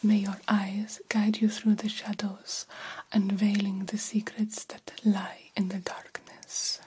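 Slow whispering vampire doctor, played by a woman. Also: mid-range voice, level tone, slight background noise, close to an everyday voice. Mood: soothing, solemn. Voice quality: breathy whisper. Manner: slow, formal, measured, gently encouraging. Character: formal, reassuring female vampire doctor, proud and vain. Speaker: ASMR roleplay performer. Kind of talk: ASMR roleplay, whispered personal attention.

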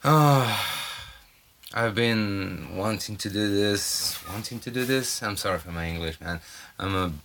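A Brazilian man hosting a casual solo podcast, rambling and halting with many fillers, recorded on a cell phone at an off-grid home in the mountains. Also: fairly low pitch, noisy background. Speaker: podcast host.

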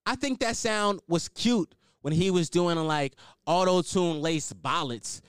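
A young man hosting a solo podcast, blunt and opinionated, swearing and riffing off the cuff, with a higher-pitched voice. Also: unhurried, a bit bright, clean tone, no room sound, clean studio sound. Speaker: podcast host.

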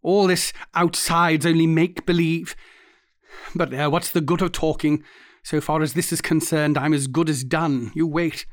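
A man's voice: fairly high pitch, fairly even intonation, moderate pace, dry recording, clean studio sound, natural voice. A man voicing an intense, haunted young man who speaks earnestly and insistently in an audiobook story. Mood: grim. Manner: earnest, insistent, guttural at times.

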